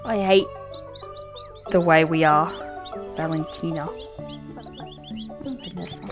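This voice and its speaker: Australian woman, 30s, lowish voice, rambling gameplay chat, faint music under it. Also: lively intonation, takes it slowly. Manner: rambling, earnest, trailing off mid-sentence. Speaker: gaming channel host. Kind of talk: casual gameplay commentary.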